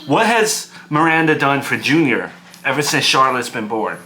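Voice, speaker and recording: Man acting agitated, bright-voiced aggrieved dad. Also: mid-range voice, animated, normal speed, soft background music. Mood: indignant, resentful. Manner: agitated, repetitive, stammering over his words. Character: aggrieved, defensive divorced young father, bitter and self-justifying.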